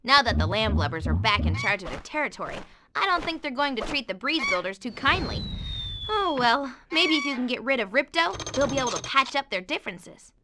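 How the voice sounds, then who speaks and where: Casting: perky young female faun guide for a cartoon video game, very high voice, swooping intonation, expressive storytelling. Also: fairly quick, background noise. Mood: wry, chatty. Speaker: narrator of game cutscenes. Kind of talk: animated video game narration. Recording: game music under the voice.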